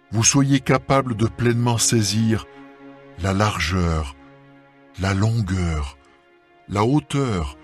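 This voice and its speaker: A man reading scripture aloud as a solemn scripted dramatised Bible recording, with measured, deliberate phrasing. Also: on the low side. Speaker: scripture reader. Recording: music under the voice. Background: background music.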